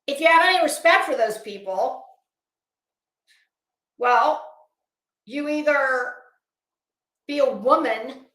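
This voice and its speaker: A woman's voice: sits high, expressive, takes it slowly, long pauses, a bit bright, echoey room, rough recording. A woman delivering an opinionated online commentary rant, blunt, scolding, emphatic and sweary. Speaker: online commentary video host.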